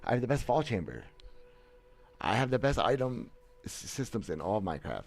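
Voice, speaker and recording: A man's voice: mid-range voice, big pitch swings, brisk, creaky. A man in his thirties streaming, talking bluntly and boastfully with many restarts and stammers, seated in a small room on a headset mic. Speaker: gaming streamer. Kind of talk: casual livestream commentary. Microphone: headset, webcam framing.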